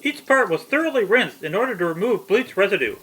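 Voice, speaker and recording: A man's voice: high voice, big pitch swings, fast, a bit bright, breathy edge, poor audio. A man reading a scripted, step-by-step technical tutorial narration.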